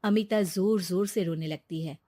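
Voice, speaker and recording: A woman narrating a cartoon story in an even, measured way on a close studio mic. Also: lowish voice, dry recording.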